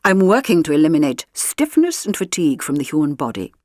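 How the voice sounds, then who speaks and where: A woman voicing an intense middle-aged male doctor who owns a clinic, deliberate, earnest and emphatic in an audiobook story. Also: deep voice, sing-song, a little mellow, no echo, studio quality. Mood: earnest, proud.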